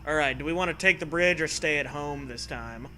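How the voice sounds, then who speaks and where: A man's voice: on the high side, a little fast, pushed and bright, slight background noise. A man reading a story aloud for comedy, with jokey, profane riffs and a theatrical delivery. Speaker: host reading a choose-your-own-adventure story aloud. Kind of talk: comedic read-aloud with casual riffing.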